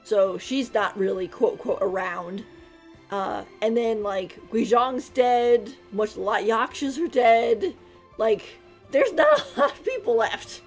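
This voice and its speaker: A woman streams a game and reacts casually as it plays. Her voice sits on the high side, and music runs quietly behind her. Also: expressive, slow pace. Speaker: livestreamer.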